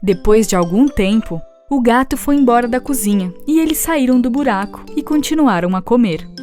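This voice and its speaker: A woman's voice: on the high side, animated, moderate pace, a little mellow. A woman with a Brazilian accent narrating a scripted children's story. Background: music.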